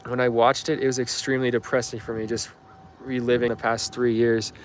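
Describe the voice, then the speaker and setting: A man's voice: medium pitch, flat delivery, a little fast, slight background noise. A man in his twenties chatting casually on a livestream, answering viewer questions in a rambling, offhand way full of fillers. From Canada. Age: 20s. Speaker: livestream host.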